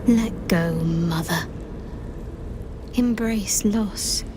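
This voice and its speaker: A woman's voice: medium pitch, very slow, noisy background. A woman playing a wounded, resolute young ex-cultist in a fantasy game, terse and demanding in her speech. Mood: cold resolve. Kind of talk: dramatic fantasy game acting. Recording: music playing behind the voice.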